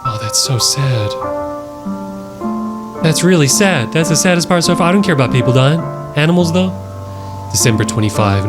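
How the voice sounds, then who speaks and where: Man, medium-pitched voice, casual horror host, reading and commenting, wry, prominent background music. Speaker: host of horror reaction videos. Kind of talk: reading on-screen text aloud with casual reaction commentary. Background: music behind the voice.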